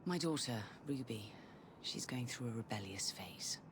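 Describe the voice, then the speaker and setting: A woman's voice: rumbling low, animated, measured pace, noisy background. An actress playing a guarded, anxious middle-aged mother, wary and pleading, in a video game. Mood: worried. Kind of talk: video game cutscene acting.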